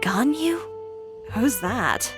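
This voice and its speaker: A woman's voice: on the high side, sing-song, drawn-out words, full character voice. A woman voicing a confident, determined young fashion designer in a video game, with a blunt, wry, matter-of-fact manner. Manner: self-assured, dry, matter-of-fact. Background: music.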